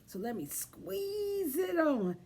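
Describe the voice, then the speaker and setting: A woman's voice: very high voice, sing-song, slow, a little mellow. A woman hosting storytime for young children, encouraging and sing-song as she leads rhymes and simple activities. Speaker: children's library storytime host. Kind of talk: children's storytime with rhymes, songs and craft talk.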